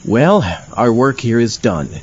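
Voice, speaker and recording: A man playing a deadpan, bumbling paranormal investigator and podcast host in a comedy audio drama, on a lo-fi recording. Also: mid-range voice, unhurried, a little hiss or hum. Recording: dull lo-fi, faint music.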